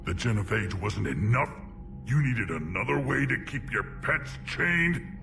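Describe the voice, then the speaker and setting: A belligerent alien warlord from a sci-fi game. The voice is gravelly and blunt, full of accusation, a little distant over background music. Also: male voice, lowish voice, very animated, unhurried, exaggerated voice. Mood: bitter, accusing. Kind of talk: video game cutscene acting.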